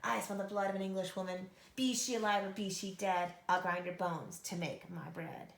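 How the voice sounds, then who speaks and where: A woman's voice: medium pitch, normal speed, vocal fry, roomy sound, lightly acted. A woman voicing a huge, greedy male giant with a bellowing delivery in a storybook reading. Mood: menacing.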